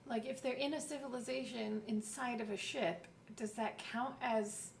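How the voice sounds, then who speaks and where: Higher-voiced woman, off the mic. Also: steady tone, normal speed, vocal fry. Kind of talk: casual discussion. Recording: distant mic, faint noise.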